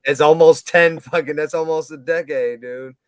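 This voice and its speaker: A man's voice: on the high side, steady tone, normal speed, smooth, no echo, soft background music. A man in his late thirties hosting a casual talk stream, laid-back and swearing freely, calling everyone dude. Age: 30s. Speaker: host of a live talk stream. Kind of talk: casual chat.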